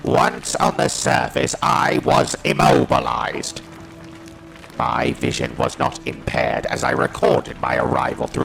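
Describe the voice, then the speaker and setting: Casting: stranded alien war machine, cold and fanatical, gravelly robotic rasp, slow halting delivery. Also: male voice, mid-range voice, a bit bright, full character voice, poor audio. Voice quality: harsh, grating, electronic. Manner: halting, staccato, declamatory. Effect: robotic voice modulation. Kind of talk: dramatic first-person narration in audio drama.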